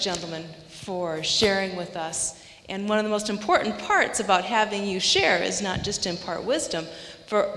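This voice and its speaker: A woman's voice: medium pitch, fairly bright tone. A woman moderating an event, speaking to an audience in an upbeat, organizing way. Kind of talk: addressing an audience. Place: event hall. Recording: roomy hall reverb.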